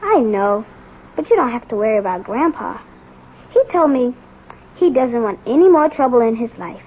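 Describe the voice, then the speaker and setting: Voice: mid-range voice, animated, normal speed, slight background noise, close to an everyday voice. A young performer playing an earnest, loyal American schoolboy, articulate and eager, in a 1970s radio drama. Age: child or young teen. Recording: muffled 1970s radio recording.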